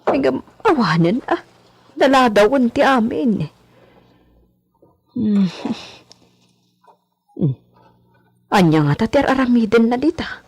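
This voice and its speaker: A gossipy but respectful housemaid in an Ilocano radio drama, played by a woman. She has an Ilocano accent, a slow, exclamatory delivery and a mid-pitched voice, recorded close and dry. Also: sing-song, a little mellow, breathy edge, no room sound, heavily acted. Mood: curious, muttering.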